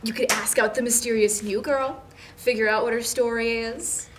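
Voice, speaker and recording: A young woman reading the part of a meddling, self-assured college student at a script table read, brisk and teasing. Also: a little high, steady tone, close to an everyday voice. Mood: pushy, teasing. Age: young adult. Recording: faint background noise.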